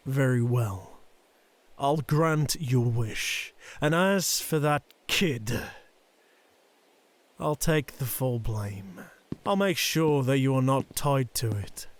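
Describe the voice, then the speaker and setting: A man's voice: mid-range voice, lively intonation, slow pace, pauses often. A man playing a stern, proud Yakuza boss and conflicted father in an audio roleplay drama, speaking formally and with command. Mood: resigned, softening.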